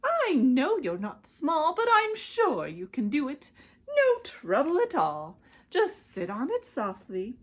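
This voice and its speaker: A woman's voice: high voice, wildly swooping pitch, slow, voice pushed up, exaggerated voice. A woman voicing a flighty, carefree female bird in a children's storybook, sing-song and wheedling. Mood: coaxing.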